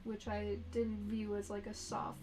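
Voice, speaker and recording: A woman artist chatting with viewers on a livestream as she draws, in a soft, flat, monotone voice. She reads viewer comments aloud in a low-key way. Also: a little slow, music in the background. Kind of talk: casual livestream chat while drawing.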